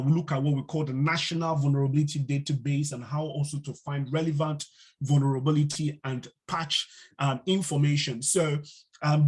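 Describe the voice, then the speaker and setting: Husky male cybersecurity instructor. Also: on the high side, darkish tone. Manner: unhurried, filler-heavy, asks the class questions. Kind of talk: live online training class. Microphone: video call mic.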